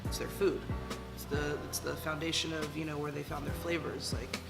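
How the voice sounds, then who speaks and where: A man from Hawaii, a head chef, talking casually in an interview with a relaxed, rambling manner full of ums. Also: on the high side, normal speed, vocal fry. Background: loud background music.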